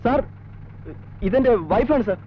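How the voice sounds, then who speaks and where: High-pitched, chatty fellow. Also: male voice, measured pace, slight background noise. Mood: pleading, annoyed. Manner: colloquial, mixing in English words. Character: chatty, informal man in a film drama. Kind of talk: 1980s Malayalam film acting. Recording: dull old film soundtrack.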